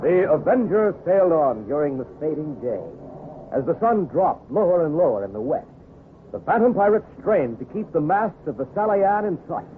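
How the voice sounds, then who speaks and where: A man's voice: on the high side, sing-song, moderate pace. A man narrating a seafaring adventure in florid, dramatic old-time radio style. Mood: dramatic, suspenseful. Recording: tinny, noisy vintage radio broadcast.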